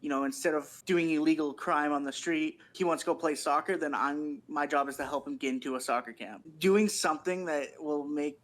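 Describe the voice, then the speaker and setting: A man talking casually on a podcast, explaining things in a measured, informal way with frequent "you knows.". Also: a little high, steady tone, fairly bright tone. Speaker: podcast participant.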